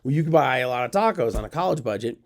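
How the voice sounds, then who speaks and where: A man's voice: medium pitch, lively intonation, quick pace. A middle-aged man telling a rambling personal story in a casual, self-deprecating way, full of fillers and asides. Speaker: storyteller host.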